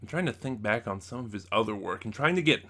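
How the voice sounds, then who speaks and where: A man's voice: on the low side, animated, quick pace, sharp tone. A man hosting a casual, unscripted review, talking in a rambling way with many asides and self-corrections. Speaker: host of a review channel.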